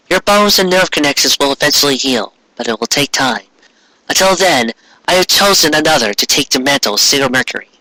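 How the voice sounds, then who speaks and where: Man acting a solemn, bright-voiced ghost queen. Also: medium pitch, dry recording, poor audio. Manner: solemn, explanatory, formal. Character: regal female ghost of moon queen, solemn.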